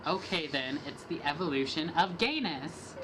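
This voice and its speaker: A woman's voice: deep voice, expressive, moderate pace, background noise. A young woman doing a dramatic reading of rap lyrics as a student project, trading rhythmic lines with a partner. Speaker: student reader.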